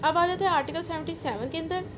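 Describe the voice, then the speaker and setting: A woman's voice: very high-pitched, quick pace, noisy background. A woman with a Pakistani accent giving an explanatory law lecture, going step by step and repeating key points. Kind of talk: explanatory lecture, reading out and explaining legal articles.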